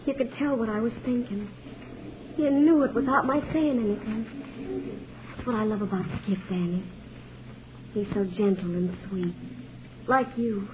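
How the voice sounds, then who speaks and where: Actress as naive, loving young working-class American woman, earnest, higher-pitched, vintage radio drama over clear background noise. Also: a little slow, pauses often, close to an everyday voice. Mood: tender. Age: young adult. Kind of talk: 1940s radio drama acting. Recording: noisy, tinny 1940s radio broadcast.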